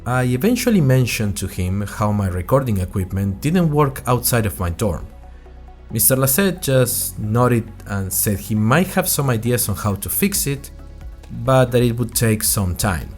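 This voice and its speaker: A man's voice: medium pitch, big pitch swings, measured pace, soft background music. A man playing an earnest young college student who tells his story in a wry, conversational way, as host of an audio drama diary. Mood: wry, chatty. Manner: conversational, wry, addresses listeners directly. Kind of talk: scripted first-person audio drama narration.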